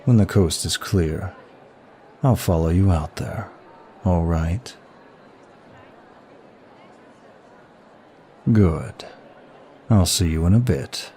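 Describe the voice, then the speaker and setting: Casting: male actor for an ancient lust demon in ASMR roleplay, low register, unhurried, sultry and teasing. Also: mellow, a little hiss or hum. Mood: smooth, conspiratorial. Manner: slow, sultry, lingering delivery. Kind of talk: intimate ASMR roleplay acting addressed to listener.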